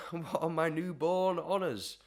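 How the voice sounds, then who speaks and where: A man playing a pompous, lovestruck parish official in a Victorian melodrama, grandiloquent and mock-poetic, often clearing his throat. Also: a little high, slow. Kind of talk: Victorian melodrama audio acting.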